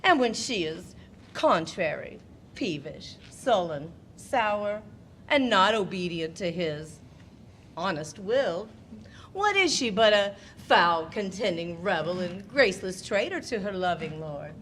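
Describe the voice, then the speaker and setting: Woman playing tamed shrew, Shakespearean, slow, declamatory. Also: fairly low pitch, big pitch swings, a bit bright, slight background noise, heavily acted. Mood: solemn. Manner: measured, declamatory delivery. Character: Kate, a tamed shrew lecturing women. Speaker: actress in a live audio play. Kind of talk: Shakespearean stage acting.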